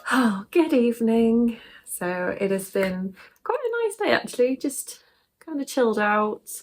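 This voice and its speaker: A woman's voice: a little high, very animated, measured pace, faint music behind. A woman vlogging casually about her crafts, chatty and rambling, sounding bunged up and sniffly. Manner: chatty and rambling, laughs often. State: stuffy nose from sneezing. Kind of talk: casual chat to camera.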